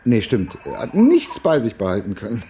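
An actor playing a naive, bumbling young amateur sleuth in a crime radio play, talking casually and haltingly. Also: male voice, medium pitch, wildly swooping pitch, warm tone, breathy edge. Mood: awkward, probing. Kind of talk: naturalistic German radio crime drama.

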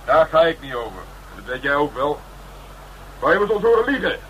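Blunt, high-pitched tough police detective. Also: male voice, very animated, a little fast, bright, forceful tone. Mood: taunting, firm. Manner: blunt, sardonic, streetwise. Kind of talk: radio crime drama acting. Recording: noisy old radio drama recording.

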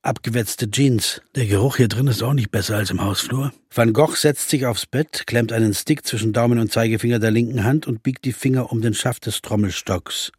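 An actor playing a determined jazz pianist who narrates in the first person and speaks in scenes of a radio play. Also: male voice, medium pitch, normal speed, hardly any pauses, breathy edge, no room sound, clean studio sound, close to an everyday voice. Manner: urgent, persuasive.

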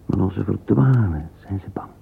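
An actor playing a wistful middle-aged man lost in thought, murmuring a halting interior monologue in a radio play. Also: low-pitched, lively intonation, gravelly, exaggerated voice. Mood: nostalgic. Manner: murmuring, halting, fragmentary musing, sometimes whispered. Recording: narrow-band lo-fi old radio recording, hissy.

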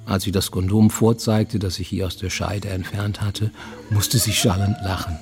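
An actor playing a paranoid, self-justifying fugitive who works as an illicit medic, narrating formally and deliberately in a radio play monologue. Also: male voice, lowish voice, flat delivery, over music, lightly acted. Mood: dry recounting. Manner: formal, deliberate, insistently explaining himself to a listener.

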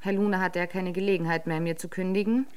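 Actress as a dryly witty detective-agency secretary. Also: female voice, fairly low pitch, fast, smooth, lightly acted. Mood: dry, deadpan. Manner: dry, deadpan wit. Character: coolly witty detective-agency secretary writing crime novels. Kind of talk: radio drama acting.

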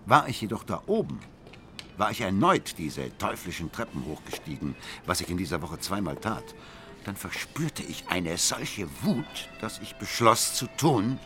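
Brooding, deep-voiced obsessive husband. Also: male voice, very animated. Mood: brooding, controlled. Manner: deliberate, intense, precise, dramatic swings. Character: brooding, obsessive husband coldly recounting his grim plan. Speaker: first-person narrator of an audio drama. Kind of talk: literary radio drama narration. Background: music.

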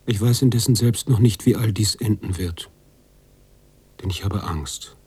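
Guilt ridden writer confessing, really husky low voice, slow careful radio play monologue. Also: male voice, no room sound. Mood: doubting, brooding. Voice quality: husky, hushed at times. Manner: deliberate, confessional. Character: brooding, guilt-ridden male writer narrating his own story. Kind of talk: literary radio drama monologue.

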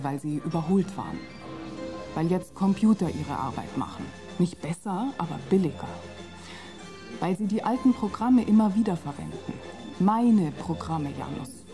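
A woman playing a composed, faintly bitter middle-aged guide in a German radio play, measured and matter-of-fact. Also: lowish voice.

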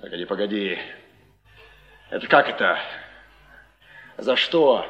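An actor playing a restless, blunt, contemptuous young thief and lover in a theatrical stage drama, with a dismissive delivery. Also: male voice, on the low side, expressive, slow pace, dull audio. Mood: wary, accusing. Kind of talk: Soviet 1950s-70s stage drama acting.

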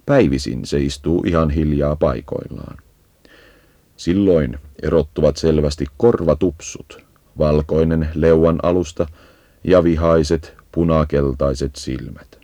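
A man giving a measured, instructive scripted narration for an educational nature guide. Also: very deep voice, steady tone, dark tone, no room sound.

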